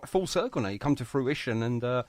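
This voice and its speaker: A man's voice: mid-range voice, animated, fast talker, no room sound. A man hosting a radio music interview in a chatty, effusive and complimentary way. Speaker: radio show host.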